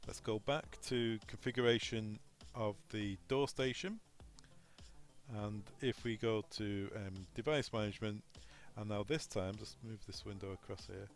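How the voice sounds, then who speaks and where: A man with a fairly low voice walks through a software setup step by step. He speaks informally and instructionally, as a trainer would, with faint music behind him. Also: steady tone, a little slow. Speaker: technical trainer presenting a tutorial. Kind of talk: unscripted step-by-step software walkthrough.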